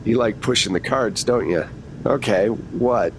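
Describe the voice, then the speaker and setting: A man's voice: lowish voice, measured pace, a little hiss or hum. A man voicing a female government agent who bargains in a coolly controlled, businesslike way in a tabletop game. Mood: wry.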